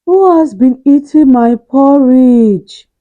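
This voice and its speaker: Mother bear for a children's tale, done by a woman: female, moderate volume, mellow, puzzled, measured questioning. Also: sits high, lo-fi sound, pitched above the performer's own voice. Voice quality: medium-sized, moderate voice. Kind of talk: children's storybook character voice.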